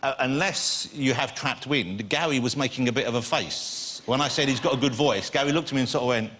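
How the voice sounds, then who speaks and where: A man's voice: medium pitch, fairly quick, fairly bright tone. A man hosting a talk show, teasing his guests with playful jokes. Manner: joking, playful. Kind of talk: teasing chat show banter. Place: TV studio with audience. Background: audience and noise.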